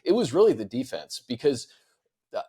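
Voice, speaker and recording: A man's voice: mid-range voice, sing-song, moderate pace, mellow, slightly rough. An American man hosting a sports podcast in casual, conversational talk, often repeating words as he thinks aloud. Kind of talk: casual sports talk, fielding fan questions.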